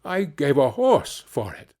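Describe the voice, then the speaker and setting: A man's voice: a little high, huge pitch swings, unhurried, a little mellow. A man voicing a cheerful, naive young peasant lad in a fairy tale, earnest and full of exclamation. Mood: plain, proud. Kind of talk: fairy-tale storybook character reading.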